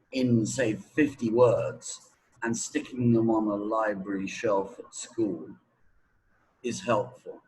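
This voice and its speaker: A man's voice: mid-range voice, slight background noise, poor audio. A man giving explanatory interview answers as a teacher, emphatic and repeating words for stress.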